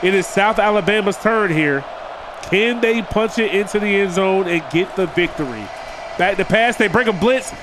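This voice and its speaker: A man with a high voice, nasal and congested from a cold, calling the action of a football video game for his viewers. He talks to them like buddies and pushes up on the big plays. A steady hiss of noise sits under the recording. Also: normal speed, a crowd in the background. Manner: addresses viewers as "boys", hypes big plays. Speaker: gaming video creator. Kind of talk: play-by-play commentary over a football video game.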